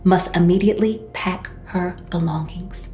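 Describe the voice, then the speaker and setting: A self-important female modelling show host , played by a woman, speaks in a lowish voice with grand, dramatic pauses. It is a reality show parody, with music behind her. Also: fairly even intonation, warm tone, natural voice. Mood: grand, solemn. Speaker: host and head judge.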